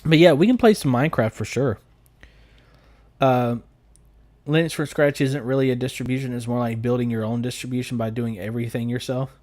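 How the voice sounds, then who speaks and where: A man's voice: mid-range voice, fairly even intonation, brisk, darkish tone, no room sound. Casual Southern American man, dry close sound. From United States. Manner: informal, joking. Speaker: live streamer. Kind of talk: casual live stream chat, reading and answering comments.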